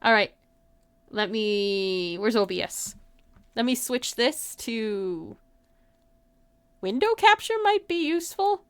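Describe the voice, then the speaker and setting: A woman's voice: on the high side, expressive, slow pace, long pauses, clean tone, no room sound. A woman livestream host chatting casually with her viewers in an informal, giggly way, saying "like" often. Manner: giggly, informal, frequent filler "like".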